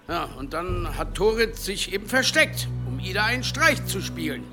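Stern, authoritative headman, middle-aged, high register, audio drama delivery over music. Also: big pitch swings, normal speed. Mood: indignant, commanding. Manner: interrogating, authoritative. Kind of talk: German audio drama acting.

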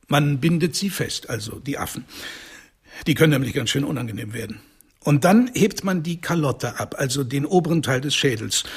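Husky, callous doctor. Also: male voice, on the high side, exaggerated voice. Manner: clinical, matter-of-fact relish. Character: callous male doctor fondly describing brain experiments on apes. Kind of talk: radio drama acting.

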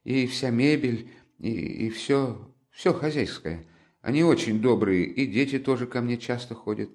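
A man's voice: mid-range voice, unhurried, a little mellow, voice pushed up, natural voice. Man voicing a timid, pleading young woman. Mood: eager. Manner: pleading, anxious, breathless outbursts. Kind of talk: audiobook character reading.

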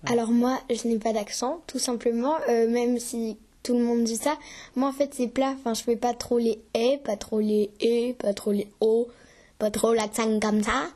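A teenage pupil speaking casually and thoughtfully, with some fillers. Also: female voice, on the high side, flat delivery, moderate pace, warm tone. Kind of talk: casual interview answers.

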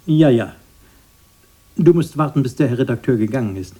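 A haunted brother in a radio play, bitter about his childhood. The voice is husky and muted, and it swings widely between brooding murmurs and sharp intensity. Phrases come out broken. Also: medium pitch, animated, normal speed. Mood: subdued. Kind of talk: radio drama acting.

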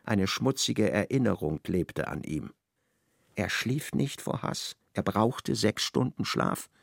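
A man narrates a literary radio play in a low, gravelly voice. The sound is close and dry, with faint music underneath. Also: lively intonation, slow pace, dry recording.

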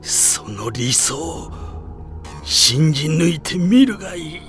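An actor playing a proud, cynical enemy general in a Japanese radio drama, theatrical, mocking and haughty. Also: male voice, fairly high pitch, lively intonation, slow pace, a bit bright, soft background music. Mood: weary, mocking.

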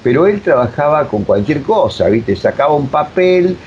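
An Argentine man explaining as an interview guest, speaking haltingly and repeating words as he searches for phrasing. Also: on the high side, big pitch swings, darkish tone. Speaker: interview guest, radio drama director. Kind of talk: spontaneous explanatory interview talk. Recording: dull lo-fi audio, clear noise.

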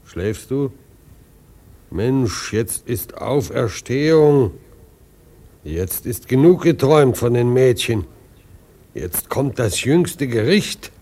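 Slow-talking, rough sailor. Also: male voice, mid-range voice, animated, dark tone. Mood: rousing, drowsy. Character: rough, weary, dreamy ordinary sailor. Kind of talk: German radio drama acting.

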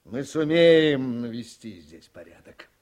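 An actor playing a gruff, outspoken newspaper editor in his sixties, wry and emphatic, in a radio play. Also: mid-range voice, slow, darkish tone, natural voice. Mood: earnest, urging. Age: 60s. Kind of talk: Soviet-style radio play acting.

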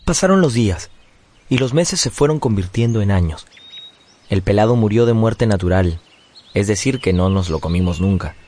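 An actor playing a nostalgic grown son, narrating his childhood in a measured, poetic radio drama style. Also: on the low side, animated, darkish tone, natural voice.

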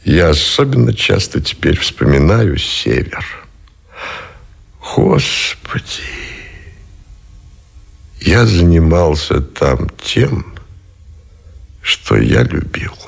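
An actor playing a weary, brooding admiral and supreme commander in a radio drama, speaking deliberately with heavy pauses. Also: male voice, deep voice, big pitch swings, creaky, husky, heavily acted. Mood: bitter, anguished.

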